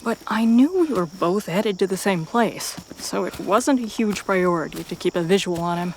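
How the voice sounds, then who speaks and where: Woman, dramatic story narrator, mid pitch, intense pauses, hushed phrases, faint background noise. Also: lively intonation, low-quality recording. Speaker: narrator of a fan fiction audio story. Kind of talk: dramatic first-person scripted narration.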